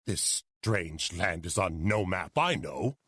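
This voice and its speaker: A man's voice: sits low, expressive, takes it slowly, fairly bright tone, husky, no echo, faint music behind, exaggerated voice. A man playing a wry, irreverent Chinese sailor and loyal sidekick in a video game cutscene, with a dry, sardonic delivery. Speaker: game character, captain's companion.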